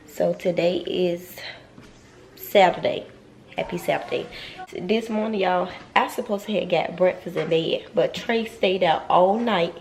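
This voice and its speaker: A woman's voice: on the low side, measured pace, creaky, a little mellow. A young woman with a Southern accent, a mom chatting casually to her viewers in a home vlog, stuffy-nosed and rambling, often repeating herself. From North Carolina. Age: young adult. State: stuffy nose. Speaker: vlogger and mom. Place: home kitchen.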